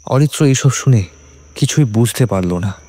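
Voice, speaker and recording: A man's voice: medium pitch, animated, normal speed, mellow. A man reading a scripted horror story as a dramatic narrator. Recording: music under the voice. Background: background music.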